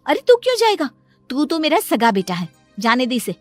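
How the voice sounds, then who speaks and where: A woman plays a cruel cartoon stepmother, haughty and full of spite. Her voice is pitched up high and she snaps out scornful scoldings, with music behind her. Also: sing-song, moderate pace, no room sound. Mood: angry, defiant. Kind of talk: Hindi cartoon story dialogue.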